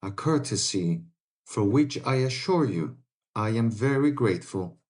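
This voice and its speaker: An actor playing a brave, respectful young adventurer, earnest and formal, in a dramatic audiobook. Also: male voice, on the low side, lively intonation, unhurried, darkish tone. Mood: earnest, polite.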